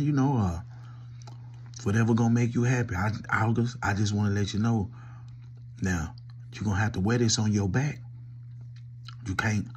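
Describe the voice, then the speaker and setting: A man's voice: on the low side, darkish tone, slight background noise. A middle-aged man with a cold and a stuffy nose, doing a teasing one-sided pretend call into his phone from a small room. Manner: loose, teasing, talking to an unseen listener. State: sick with a cold, coughing. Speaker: comedy vlogger. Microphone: phone held close.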